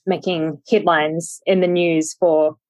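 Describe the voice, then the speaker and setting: Young kiwi woman, low voice, dry close sound, pauses and restarts a lot. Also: flat delivery, a little mellow, no room sound. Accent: New Zealand. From New Zealand. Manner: thoughtful, many ums and restarts. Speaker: podcast guest, startup founder. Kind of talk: casual interview chat.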